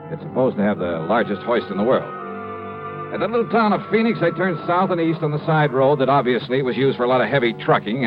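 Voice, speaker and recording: An American actor playing a brisk, wry male investigator in a 1950s radio detective drama, with clipped, matter-of-fact delivery. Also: mid-range voice, close to an everyday voice. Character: brisk, wry male insurance investigator telling his case. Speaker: lead actor and narrator. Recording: muffled old radio recording with music.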